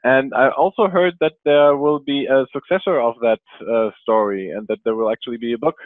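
A man in his thirties interviewing an author over a video call, relaxed and hesitant with fillers. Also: mid-range voice, brisk, dry recording. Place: small room at home. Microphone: headset. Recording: tinny dry phone-like call audio.

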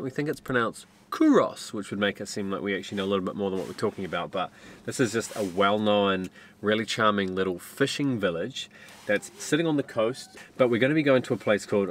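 A man in his thirties vlogging on a trip, chatty and excitable, with bursts of loud enthusiasm. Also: on the low side, slight background noise. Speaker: travel vlogger. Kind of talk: casual travel vlog chat. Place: outdoors on a windy island and village streets. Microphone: camera mic, handheld selfie framing.

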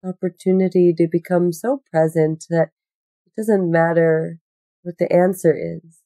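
A woman guiding a meditation in a gentle, unhurried, soothing manner with long pauses. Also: on the low side, level tone, no room sound. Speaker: yoga nidra meditation guide. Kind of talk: guided meditation.

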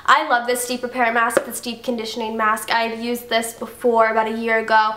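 A woman's voice: on the high side, completely flat delivery, a little fast, bright, forceful tone, reverberant. A young woman with a stuffy cold chatting casually through a beauty favorites review, gushing and filling her talk with "like". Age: young adult. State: congested from a cold. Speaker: beauty vlogger. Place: bedroom, indoors.

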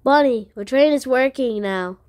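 A woman's voice: high-pitched, normal speed, darkish tone, no room sound, studio quality, lightly acted. A woman voicing a determined, loyal hero in an amateur game cutscene, earnest in manner. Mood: concerned. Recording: music behind the voice. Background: music.

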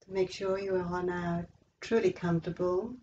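Female meditation guide, soft-edged dark timbre, slow and soothing, slightly muffled recording. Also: fairly low pitch, steady tone, slight background noise. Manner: slow, measured, soothing delivery with long pauses. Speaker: yoga nidra instructor.

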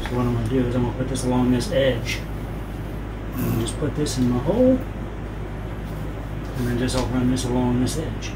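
A man's voice: medium pitch, moderate pace, pauses often, heavy background noise. A middle-aged man talking casually as he cuts and sews canvas at home, explaining each step and speaking frankly about his troubles. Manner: plainspoken, frank, talks while doing hands-on work. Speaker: sailing channel host. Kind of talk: casual how-to narration while working. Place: indoors in a home, kitchen and living room.

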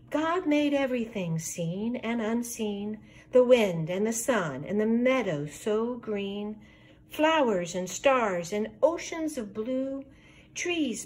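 An older woman voicing a wise, kindly grandmother in a rhyming storybook, explaining gently and patiently. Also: on the high side.

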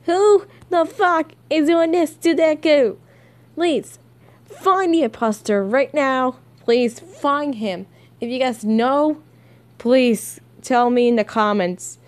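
A young woman giving casual, halting, giggly commentary as she reacts to comics as a video creator. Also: sits high.

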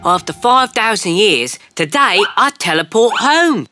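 A woman voicing a friendly, hurried green alien in a children's cartoon, quick and excitable. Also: mid-range voice, over music. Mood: chatty. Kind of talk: cartoon voice acting.

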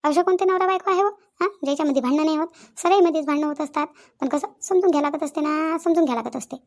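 A woman's voice: sits high, very fast. A woman playing insistent, talkative village women in a comedy cartoon, with a rural Vidarbha Maharashtrian accent and a habit of repeating phrases. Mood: insistent, reasoning. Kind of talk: Marathi comedy cartoon dialogue.

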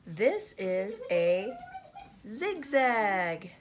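A woman reading a picture book aloud for children, addressing them as friends and pausing before key words. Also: medium pitch, sing-song. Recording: clear background noise, home recording. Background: background noise.

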